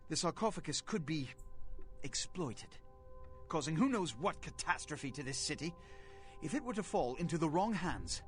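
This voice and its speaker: Pompous vampire prince, theatrically expressive. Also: male voice, fairly high pitch, normal speed, soft background music. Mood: stern, commanding. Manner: grandiloquent, formal, melodramatic. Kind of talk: dramatic video game voice acting.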